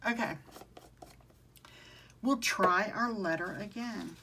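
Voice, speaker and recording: Bright-voiced Southern woman demonstrating crafts. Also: mid-range voice, slow pace, a little hiss or hum. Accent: Southern American. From southern United States. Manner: chatty, talks to viewers and answers their comments. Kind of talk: casual live craft tutorial.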